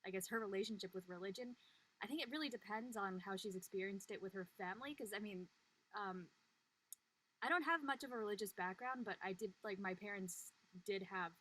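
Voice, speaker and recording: A woman with a bright, clear-edged voice of average pitch chats about the role she plays. Her delivery is informal and conversational, dotted with "like" and "you know". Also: fairly quick, low-quality recording. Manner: chatty, frequent "like" and "you know". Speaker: voice actor on a live show. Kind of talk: casual chat about her character.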